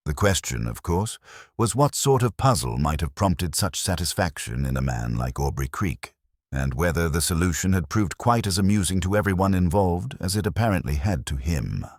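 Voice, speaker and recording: A man narrates a period mystery in an extremely low, husky voice. His delivery is measured and formal with a wry edge, and his pitch moves about freely within that deep register. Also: big pitch swings, no echo, studio quality.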